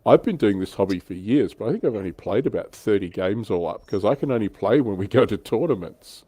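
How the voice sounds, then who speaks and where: A man's voice: fairly low pitch, animated, brisk, dark tone, husky, no echo. A man hosting a livestream, chatting casually and in a self-deprecating way about his tabletop wargaming hobby. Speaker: livestream host.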